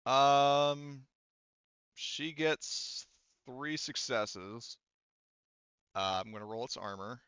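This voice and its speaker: Clear-voiced man running a tabletop game. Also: mid-range voice, little pitch movement, extremely slow, long pauses, a bit bright, no echo, dull audio. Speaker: game master. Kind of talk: narrating a tabletop sci-fi horror game.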